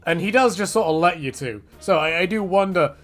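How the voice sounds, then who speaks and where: A man's voice: sits high, a little fast, fairly bright tone, soft background music. A man giving a casual, rambling, jokey rant about games, full of slang and acted-out quotes. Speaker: video creator, game commentator.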